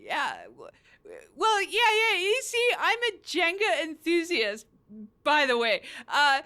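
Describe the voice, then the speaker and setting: Woman voicing squeaky, bright, rambling housemate. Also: sing-song, slow pace, exaggerated voice. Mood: rambling, eager. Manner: stammering, rambling, repeats self. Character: chatty, eager, apologetic housemate who loves Jenga. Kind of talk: improvised comedy audio drama.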